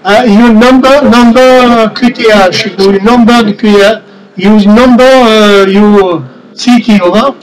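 A man from France with a French accent, a native French speaker, making a radio contact as an amateur operator in a halting, repetitive way. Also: high-pitched, rough recording. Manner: halting, repeats numbers and phrases.

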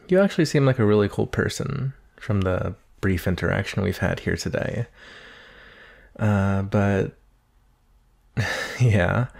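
Guy doing a shy nervous monster boy roommate for asmr roleplay, lowish voice, takes his time, kinda rambly. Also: creaky, darkish tone, exaggerated voice. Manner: hesitant, nervous, rambling, apologetic. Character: shy, earnest nineteen-year-old male naga monster roommate. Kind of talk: ASMR roleplay, soft conversational acting.

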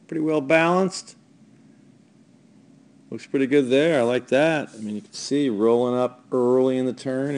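A man coaching a young ski racer in a voice-over, talking over video in a relaxed, hesitant way with many fillers. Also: medium pitch.

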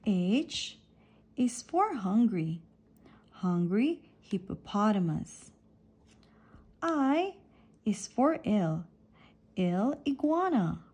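Woman, children's read-aloud, very slow deliberate delivery, lively swooping intonation, alphabet book. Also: mid-range voice, slightly rough. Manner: deliberate, separates each letter and phrase. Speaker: reader of a children's picture book. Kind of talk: read-aloud of an alphabet picture book, teaching letters and words.

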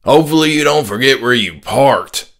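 A man's voice: mid-range voice, expressive, moderate pace, creaky, a bit bright, voice pushed up. A man voicing a rude, selfish dad in an audiobook, with a snide, brash, impatient manner. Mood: snide shouting.

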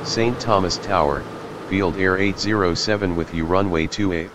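Low-voiced businesslike airline pilot voiced by a man. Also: moderate pace, lightly acted. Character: businesslike male airline pilot answering the tower. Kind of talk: clipped aviation radio readbacks. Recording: aircraft noise behind.

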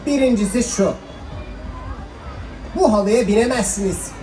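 Young trickster boy, very high voice, projected, playful, children's stage musical, echo, faint crowd. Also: measured pace, dark tone. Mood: sly. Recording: echoey hall stage sound, music, audience.

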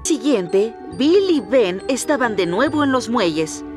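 A woman with a Latin American accent narrating a children's animated story. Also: on the high side, a little slow, music in the background.

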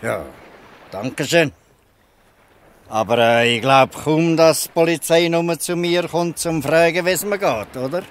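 A man playing a shrewd, gruff old fisherman in a Swiss German dialect radio play, questioning people with pointed irony. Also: fairly high pitch, unhurried, lightly acted. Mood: wry, guarded. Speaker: actor in an audio drama.

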